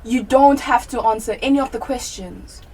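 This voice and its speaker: A teenage woman playing a brisk, assertive defence lawyer in an amateur radio play. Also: fairly high pitch, a little mellow, breathy edge. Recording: distant mic, light background noise.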